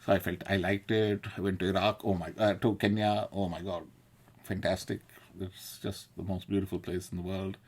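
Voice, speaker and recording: A man's voice: low-pitched, flat delivery, normal speed, breathy edge. A man from South India, talking in a casual, digressive monologue, laughing often and swearing. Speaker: video essayist. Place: London.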